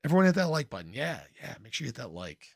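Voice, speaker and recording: A man hosting a casual livestream in an informal, playful way, with a slightly hoarse voice and frequent throat clearing. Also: a little high, big pitch swings, very fast, no echo, clean studio sound. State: slightly hoarse, losing his voice. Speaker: livestream host, crypto commentator. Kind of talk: casual livestream chat, reading and answering viewer comments.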